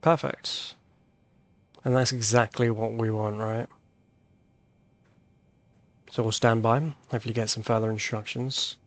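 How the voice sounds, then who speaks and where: A man's voice: on the low side, level tone, a little slow, long pauses, no echo. A man hosting a flight simulator video, thinking aloud in casual tutorial commentary and reading back radio calls. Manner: thinks aloud while demonstrating.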